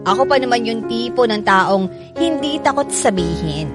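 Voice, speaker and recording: An actress plays a bitter young Filipino woman in a radio drama. Her voice is high and lively, and she talks bluntly and sassily, quick to be irritated, with music playing underneath. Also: close to an everyday voice. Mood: self-righteous, grumbling. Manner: sassy, blunt, slangy. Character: bitter, sharp-tongued, hot-headed young woman, easily irritated.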